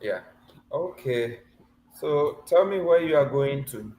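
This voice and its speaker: A man runs a mock visa interview over a video call, teasing and encouraging by turns. His pitch swings widely, and he sounds like he is in an echoey room with a faint hum of ambience behind him. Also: expressive, slow pace, darkish tone. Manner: teasing, laughs often, encouraging.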